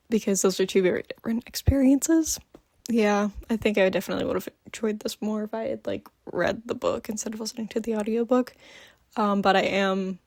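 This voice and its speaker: A woman's voice: medium pitch, no room sound, studio quality. A woman chatting casually in a book review vlog, just woken up, gushing with lots of ums. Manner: gushing, filler-heavy, many ums. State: just woke up. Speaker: book vlogger.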